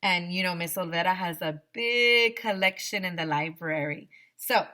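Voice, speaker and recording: Bright-voiced woman reading aloud to children. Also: lowish voice, normal speed. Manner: addresses young listeners directly. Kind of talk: expressive children's story read-aloud and chat.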